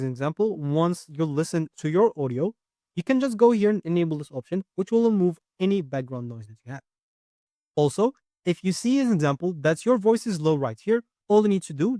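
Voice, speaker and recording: A man talks a viewer through software step by step in an unscripted way. His voice sits a little high yet sounds mellow and relaxed. The phrasing is slightly halting and non-native, captured close and dry on a desktop microphone. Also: no room sound. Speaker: tutorial presenter.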